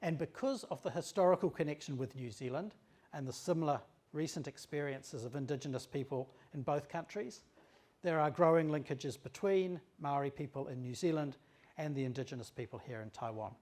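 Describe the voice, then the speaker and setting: A man giving a formal lecture as a trade office director, speaking in a measured way with frequent pauses and fillers. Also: a little high, a little mellow.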